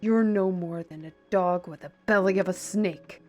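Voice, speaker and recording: A woman's voice: on the low side, normal speed, darkish tone, muffled recording, faint music behind, close to an everyday voice. A woman playing a proud, defiant steward in a fantasy audio drama, with a formal, biting manner. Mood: angry.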